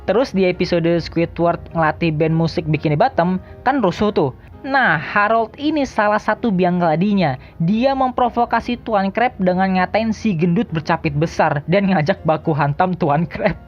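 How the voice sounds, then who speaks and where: Casting: female video host, lowish voice, slightly muffled, jokey scripted narration over music. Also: normal speed. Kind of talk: casual scripted countdown narration. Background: background music.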